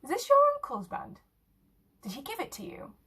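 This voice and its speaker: A woman's voice: high-pitched, huge pitch swings, moderate pace, bright, forceful tone, pitched above the performer's own voice, exaggerated voice. A woman voicing a laid-back, teasing and skeptical teenage girl in a short story, casual and blunt in her slang.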